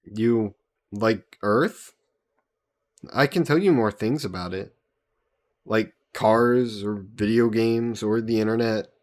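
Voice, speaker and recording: A man's voice: on the low side, slow, pauses often, a little mellow. A man playing a friendly, patient human hero from Earth in a roleplay audio drama, explaining things conversationally to a listener.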